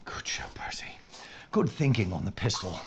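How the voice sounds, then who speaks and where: Man acting a brusque British police inspector, swooping pitch. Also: very animated, moderate pace, in a whisper. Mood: flustered. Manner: clipped, commanding, formally polite. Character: brusque, dogged male London police inspector in wartime. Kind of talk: period audio drama acting.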